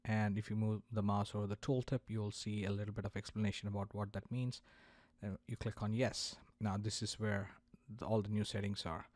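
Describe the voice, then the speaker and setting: A man presenting a software tutorial, explaining a product's features step by step in a demo narration. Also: lowish voice, flat delivery, brisk, no room sound.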